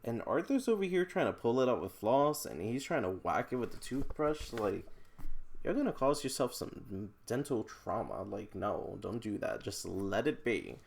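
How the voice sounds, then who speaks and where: A man's voice: medium pitch, very animated, normal speed, creaky. A man chatting casually as he reacts to books, with playful, incredulous commentary and slangy asides. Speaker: book vlogger. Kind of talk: casual reaction read-along chat.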